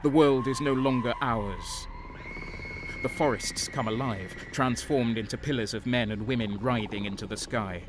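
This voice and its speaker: An actor playing a grim, battle-worn traitor soldier, narrating in terse, intense first person for an audio drama. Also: male voice, mid-range voice, moderate pace, noisy background, natural voice. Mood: awed, intense.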